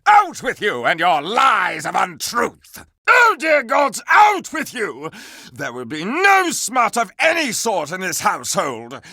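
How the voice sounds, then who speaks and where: A man's voice: high voice, wildly swooping pitch, slow, bright, forceful tone, no echo, heavily acted. A man playing a flamboyant, gossipy living candelabra in a video game, speaking in dramatic exclamations, mock-archaic turns and coy asides. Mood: outraged. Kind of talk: animated video game character acting.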